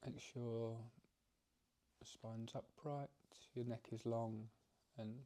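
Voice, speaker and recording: Man, meditation teacher, calm and gentle, very even pitch, unhurried, halting delivery with pauses. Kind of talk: guided meditation, softly instructive.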